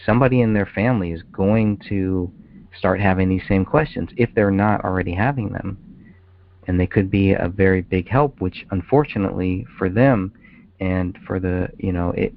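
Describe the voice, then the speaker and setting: A very deep-voiced man with a gravelly fry discusses things casually. He halts and repeats phrases as he goes. The sound is a thin, muffled phone conference line. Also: a little hiss or hum. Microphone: phone conference call.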